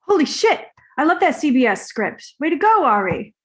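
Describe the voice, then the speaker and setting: Easygoing fading movie star, read by a woman, slightly high voice, earnest and naive, comedy script. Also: big pitch swings, moderate pace. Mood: earnest, casual.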